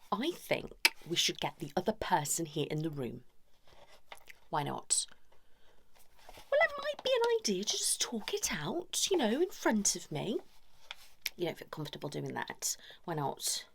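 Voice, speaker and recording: A British woman doing a whispered ASMR role-play as a chatty, cheeky couples' therapist, breathy and soft. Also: on the low side, wildly swooping pitch, unhurried, long pauses, a little hiss or hum. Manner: chatty, cheeky, improvised asides to imagined clients.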